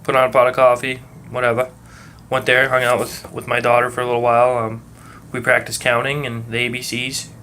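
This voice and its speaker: A young man with a Massachusetts accent muddled by moving around talks in a calm, relaxed rhythm. He rambles along with lots of ums and uhs and drops swear words casually. A faint hiss sits behind his voice. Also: mid-range voice, a little fast. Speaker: vlogger. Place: at work.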